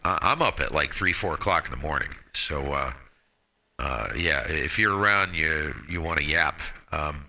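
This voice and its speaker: A man's voice: extremely low pitch, moderate pace, pushed and bright. A man of about sixty chatting casually as an amateur radio operator, joking, slangy and self-deprecating. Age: 59, about to turn sixty. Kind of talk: casual radio chat with friends.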